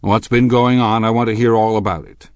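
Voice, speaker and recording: Man acting a blustering, deep-voiced husband. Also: very animated, brisk, dry recording. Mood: incredulous, demanding. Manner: incredulous, scornful, snapping. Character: blustering, incredulous, insulting husband, pompous and suspicious. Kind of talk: audiobook character dialogue.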